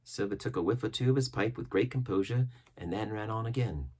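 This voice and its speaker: A man reading an adventure story as a narrator, dramatic and expressive, with deliberate phrasing. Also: fairly low pitch, husky. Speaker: audiobook narrator.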